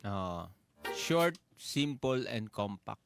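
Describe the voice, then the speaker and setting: Animated Filipino man telling comic stories over music. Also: lowish voice, extremely slow, creaky. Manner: jokey, laughs as he talks. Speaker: radio show co-host. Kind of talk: playful comic storytelling.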